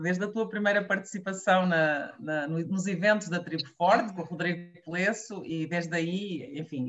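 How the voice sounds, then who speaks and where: A woman's voice: mid-range voice, a bit bright. Portuguese woman interviewer over a dull line. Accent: European Portuguese. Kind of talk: video call interview.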